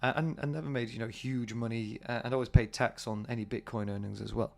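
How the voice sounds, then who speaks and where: Husky British man with a cold. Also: mid-range voice, fairly even intonation, fast talker. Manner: rambling, chatty, many fillers. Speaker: podcast host. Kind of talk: casual solo podcast talk.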